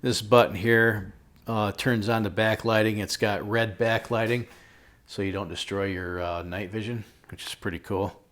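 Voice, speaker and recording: A middle-aged American man with a lowish voice presents a product review. He talks in a casual, folksy manner, dropping jokey slang and slipping into storytelling as he explains things. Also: fairly even intonation, normal speed, fairly bright tone. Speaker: host of a product review video.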